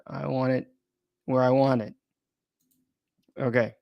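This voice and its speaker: A man's voice: mid-range voice, level tone, slow, vocal fry, no room sound, muffled recording. A man chatting informally and thinking aloud as he runs an unplanned live stream, often interrupting himself in a rambling way.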